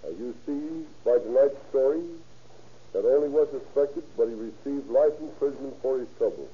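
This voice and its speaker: A man's voice: mid-range voice, moderate pace, slightly rough. A man reading a scripted address stiffly and formally as a police captain on 1930s radio. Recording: muffled, noisy 1930s radio recording.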